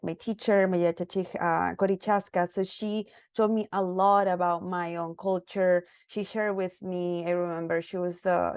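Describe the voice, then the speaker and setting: A woman from Peru, chatting as an interview guest, lively and full of fillers and restarts. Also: fairly low pitch, smooth, dry recording, muffled recording. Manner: animated, many fillers and restarts. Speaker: guest filmmaker. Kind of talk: casual interview chat.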